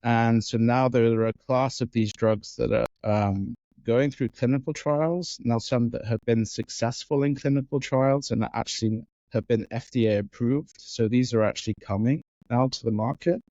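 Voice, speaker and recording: Soft-spoken man from Wales, lo-fi. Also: mid-range voice, fairly even intonation, moderate pace, few pauses, no room sound. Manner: thoughtful, with many fillers and restarts. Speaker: guest neuroscientist. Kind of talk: conversational interview answers. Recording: dull lo-fi audio.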